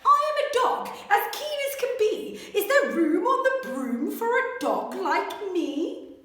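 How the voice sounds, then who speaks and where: A woman reading aloud puts on a keen, excited storybook dog with a high, bouncing voice. Her pitch leaps up, and the sound rings in an echoey room. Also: unhurried, full character voice. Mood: eager. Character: eager, keen male dog.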